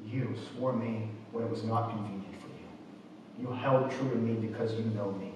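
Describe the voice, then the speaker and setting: A man's voice: on the low side, steady tone, normal speed. A man in his twenties preaching a sermon from a church pulpit, reading and explaining scripture. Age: 20s. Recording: echoey church, distant mic, faint noise.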